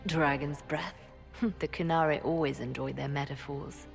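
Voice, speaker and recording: An actress playing a measured, inquisitive female advisor in a fantasy video game, formal and deliberate. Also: sits low, fairly even intonation, vocal fry. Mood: pensive. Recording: hall reverb with music behind.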